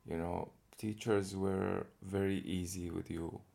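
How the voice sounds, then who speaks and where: A man's voice: low-pitched, fairly even intonation, measured pace, creaky, mellow. A young man from Saudi Arabia as an interview guest, chatting casually and laughing often as he tells stories. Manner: easygoing, laughs often while telling stories. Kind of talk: casual conversation.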